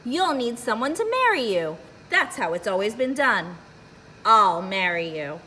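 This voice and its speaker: A woman's voice: mid-range voice, big pitch swings, a little slow, pushed and bright, slight background noise. A woman voicing a fussy, insistent cricket who is a stickler for tradition, bossy and emphatic, in a children's picture-book reading.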